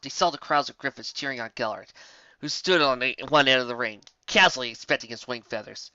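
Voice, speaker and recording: A woman's voice: extremely low pitch, bright, forceful tone, no room sound. A woman narrating a scripted story expressively as a storyteller. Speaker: storyteller, reader. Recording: slightly dull, dry home recording.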